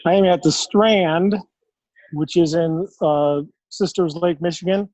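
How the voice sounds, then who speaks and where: A man calling in from a noisy bar, loud and casually enthusiastic. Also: fairly high pitch, measured pace, dry recording. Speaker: guest.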